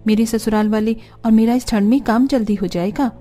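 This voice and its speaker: A woman's voice: fairly high pitch, steady tone, a little fast, dark tone, lightly acted. A woman voicing a lazy, cheerful young daughter-in-law in a family cartoon, musing to herself in a self-satisfied way. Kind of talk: Hindi family cartoon acting.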